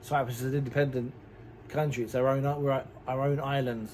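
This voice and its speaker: A man's voice: mid-range voice, level tone, brisk, a little mellow, a little hiss or hum. A man giving an emphatic, firm interview answer.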